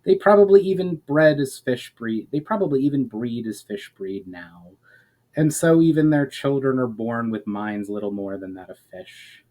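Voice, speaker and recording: A man voicing a grave, ancient elf in a fantasy storybook reading, speaking in a measured, formal, explanatory way. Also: mid-range voice, mellow, natural voice, poor audio. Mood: solemn.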